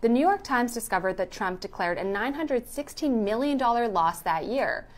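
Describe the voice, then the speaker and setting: A woman's voice: a little high, lively intonation, normal speed. A woman reading scripted narration for a news explainer.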